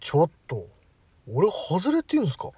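A man playing a bewildered, irritated high school boy in a radio drama, blunt and incredulous. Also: huge pitch swings, fairly quick, dark tone, slightly rough, no echo, far from the mic, heavily acted. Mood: sceptical, annoyed. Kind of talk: amateur radio drama acting.

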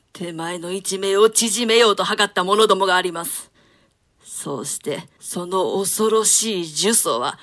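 A woman's voice: lowish voice, fairly bright tone. A woman playing an imperious, wrathful scheming nobleman. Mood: stern indignation. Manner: archaic, imperious, theatrical delivery. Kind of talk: dramatic reading of an old Shakespeare translation.

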